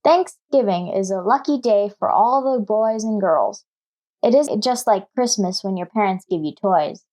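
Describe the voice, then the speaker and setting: A woman's voice: medium pitch, animated, measured pace, warm tone, natural voice. An actress playing an earnest nine-year-old schoolgirl in a radio sitcom, with a singsong way of reciting. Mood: eager, earnest. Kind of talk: 1950s radio sitcom acting.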